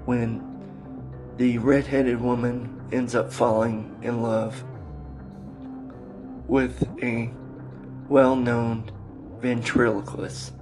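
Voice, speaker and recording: A man hosting a podcast and introducing episodes, speaking haltingly in short broken phrases. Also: medium pitch, little pitch movement, over music. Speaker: podcast host.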